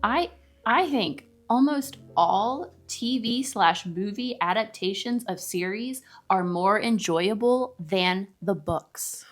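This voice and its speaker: A woman's voice: mid-range voice, animated, takes it slowly. A woman co-hosting a casual, bantering chat, emphatic and opinionated with a teasing edge. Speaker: co-host of a book discussion video.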